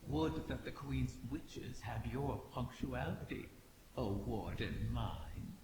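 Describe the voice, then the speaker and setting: Gravelly, echoing sardonic dragon. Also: male voice, mid-range voice, animated, slow. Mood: mocking. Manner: mocking, theatrical, condescending. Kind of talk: video game cutscene acting. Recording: long echoing reverb, hissy ambience.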